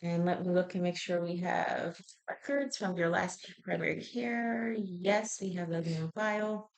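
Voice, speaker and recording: A woman's voice: on the low side, fairly even intonation, unhurried, vocal fry. A woman working as a healthcare intake interviewer, asking health history questions conversationally and methodically, checking each answer.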